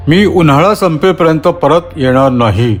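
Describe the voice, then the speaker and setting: Friendly talking bear played by a man, earnest, measured, slightly high male voice, children's cartoon story, background music. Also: lively intonation.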